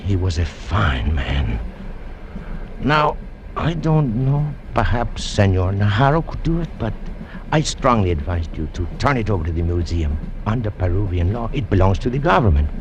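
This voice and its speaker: Polite old-radio museum curator, formal, lively low voice. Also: male voice, moderate pace, muffled recording. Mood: regretful, cautioning. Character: courteous, scholarly Peruvian museum curator, a cautious man. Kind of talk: old-time radio drama acting. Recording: noisy old radio broadcast recording.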